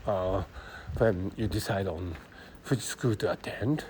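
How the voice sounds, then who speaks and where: A man with a Japanese accent thinking aloud in a halting monologue while walking city streets, speaking into a phone, full of fillers and restarts. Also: sits low, a little mellow, a little hiss or hum. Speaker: podcast host. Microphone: phone held close.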